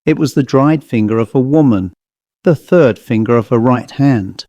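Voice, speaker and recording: Mellow male story narrator. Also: mid-range voice, normal speed, no room sound, dull audio. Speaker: audiobook narrator.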